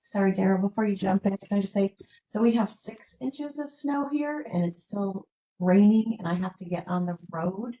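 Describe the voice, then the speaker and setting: A woman joining an online meeting, apologetic and hurried because she has to leave soon. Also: mid-range voice, animated, mellow, poor audio. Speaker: meeting attendee.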